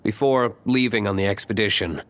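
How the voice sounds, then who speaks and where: A man's voice: on the low side, brisk, a bit bright, close to an everyday voice. A man voicing a reserved, aloof male tavern owner in a game, speaking dryly and curtly. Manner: dry and curt. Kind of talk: game voice acting.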